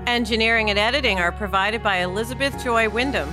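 A woman's voice: on the high side, fast talker, over music. A woman hosting a podcast, talking in a casual conversational style. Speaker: podcast host.

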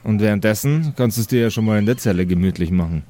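A man's voice: on the low side, expressive, quick pace, warm tone, pitched below the performer's own voice, natural voice. A man voicing a stern, unyielding paladin guard in a fantasy game, speaking in a formal, reproving way.

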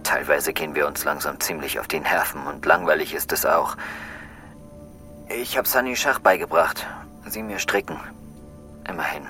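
A man's voice: a bass voice, normal speed, pauses often, pushed and bright, faint music behind. An actor playing a weary male astronaut crew member in an audio drama, talking in a tired, subdued way. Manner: tired, subdued logbook musing.

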